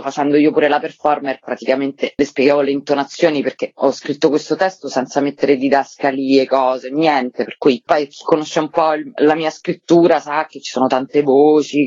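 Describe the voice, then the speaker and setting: A woman's voice: deep voice, darkish tone, no echo. A woman giving informal, enthusiastic answers as a theatre-maker in a casual radio interview. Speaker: theatre writer, director and performer interviewed as guest. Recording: slightly dull, lo-fi, dry remote sound.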